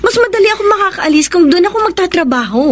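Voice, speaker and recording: An actress with a Filipino accent playing a conflicted, pleading young wife in a radio romance drama. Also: female voice, very high voice, lively intonation, fast talker. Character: conflicted young wife longing to work abroad.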